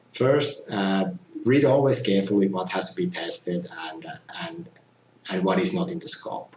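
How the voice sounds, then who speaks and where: A middle-aged man from Finland, giving a measured, earnest testimonial about his community testing and often saying "you know". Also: low voice, slight background noise. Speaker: community tester. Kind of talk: casual testimonial talk. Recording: roomy echo with faint ambience.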